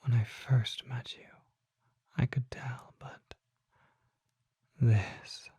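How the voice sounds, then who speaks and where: A performer voicing a refined, mysterious vampire shopkeeper in a soft, breathy ASMR whisper, speaking formally with deliberate pauses. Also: medium pitch, fairly even intonation, no echo, lo-fi sound, heavily acted. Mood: reverent excitement. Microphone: close microphone.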